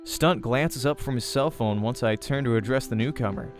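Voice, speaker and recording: A man reading a visual novel aloud as a let's play host, in an expressive storytelling manner. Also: mid-range voice, moderate pace, faint music behind. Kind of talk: narrating a visual novel aloud, acting dialogue.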